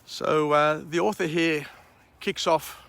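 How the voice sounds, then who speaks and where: A middle-aged Australian man with a croaky, gravelly voice, talking slowly and evenly at a fairly high pitch. He rambles with many pauses and fillers. He records outdoors on a handheld camera at sunrise. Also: steady tone, a bit bright, no room sound. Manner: slow, rambling, frequent uh and um. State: croaky voice after a night of drinking. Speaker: vlog host. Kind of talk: outdoor morning vlog and book summary.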